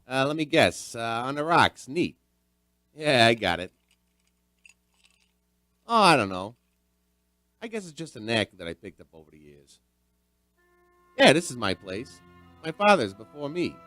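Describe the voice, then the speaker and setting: Folksy bartender, animated storyteller. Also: male voice, medium pitch, a little slow, long pauses, dry recording, faint music behind. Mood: affable, chatty. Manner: folksy, rambling, conversational. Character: chatty, folksy male bartender and bar owner, storyteller. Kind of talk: radio drama monologue.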